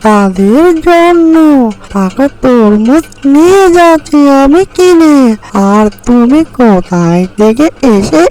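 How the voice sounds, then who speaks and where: Woman voicing a high-pitched little cartoon bird. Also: lively intonation, takes it slowly, darkish tone, poor audio. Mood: indignant. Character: small female tailorbird, indignant yet kindly. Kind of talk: animated fairy-tale cartoon dialogue.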